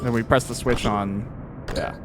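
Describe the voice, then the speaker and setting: A man's voice: medium pitch, steady tone, normal speed. A man hosting a casual interview while playing a video game. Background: video game audio, faint music.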